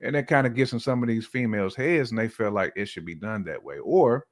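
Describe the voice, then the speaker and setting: Low-voiced man in casual, reflective discussion. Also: animated, fairly quick. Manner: reflective, explanatory, colloquial. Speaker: podcast guest.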